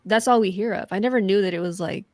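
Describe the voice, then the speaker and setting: A woman's voice: mid-range voice, quick pace, a little mellow, dry recording. Southern American woman, thoughtful guest. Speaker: podcast guest. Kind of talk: casual interview chat.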